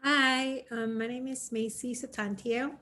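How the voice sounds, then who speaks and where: A woman from Indonesia introducing herself earnestly to a round table, in halting phrases. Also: on the high side, fairly even intonation, pushed and bright. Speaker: panelist, nonprofit advocate. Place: dining room at home, video call.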